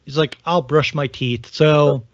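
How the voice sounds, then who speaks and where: A man's voice: fairly high pitch, steady tone, a little slow, no room sound. A father co-hosting a parenting podcast, chatting casually in a laid-back way with dry humor. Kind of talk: casual chat. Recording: dry, dull lo-fi audio.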